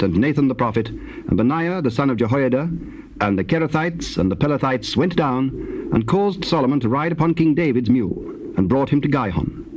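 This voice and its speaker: A man's voice: mid-range voice, a little mellow, slight background noise, low-quality recording. A man narrating scripture in a solemn, scripted style on an old cassette recording.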